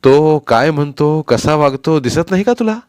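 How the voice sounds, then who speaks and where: A bullying father who roars his words in raised, loud bursts, as a male storyteller acts him out. The threats come dry and close to the mic. His pitch leaps about. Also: expressive, moderate pace, no room sound, natural voice. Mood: furious. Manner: shouting, threatening.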